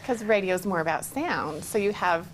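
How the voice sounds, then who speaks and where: A woman's voice: lowish voice, lively intonation, normal speed, slight background noise, low-quality recording. A woman hosting an upbeat TV studio interview, speaking in an enthusiastic, encouraging way. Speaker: TV interview host.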